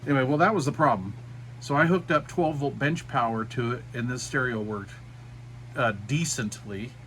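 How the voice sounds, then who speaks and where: A man's voice: mid-range voice, fairly bright tone, slight background noise. A middle-aged man giving a casual, unscripted walkthrough of a DIY project in a folksy, rambling way. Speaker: DIY radio hobbyist presenter.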